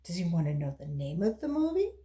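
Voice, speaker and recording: Woman as an earnest, lively-pitched schoolteacher. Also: fairly quick. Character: earnest, slightly muddled female schoolteacher.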